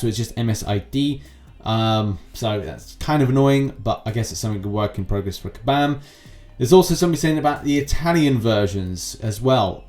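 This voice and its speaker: A man's voice: medium pitch, a little mellow. A man giving casual, rambling gaming news commentary as a channel host, with chatty asides.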